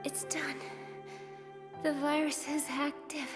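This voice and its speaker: A frail young woman, high and breathy, speaking slowly as if fading. It is tender game drama acting in long reverb. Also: flat delivery. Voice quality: weak, breathy. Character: frail, dying young girl, gentle and weak. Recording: long reverb with music behind.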